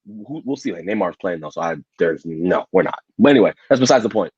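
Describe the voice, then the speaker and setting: Man, low husky voice, blunt emphatic football pundit, dull dry recording. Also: animated, fast talker, a little mellow, dry recording. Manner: emphatic and blunt, repeats words as he builds a point. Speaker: podcast co-host. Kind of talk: casual football debate. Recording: dull, muffled audio.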